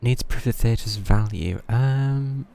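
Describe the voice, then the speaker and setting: A man's voice: mid-range voice, takes it slowly, creaky, warm tone. A man streaming a game, making dry, mumbled casual asides. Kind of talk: casual commentary while gaming. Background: game music.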